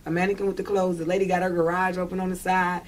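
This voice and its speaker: A woman's voice: lowish voice, flat delivery, quick pace. A woman with a Detroit accent chatting casually as an interview guest, talking in an emphatic, slangy way and often checking that the listener follows. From Detroit, United States.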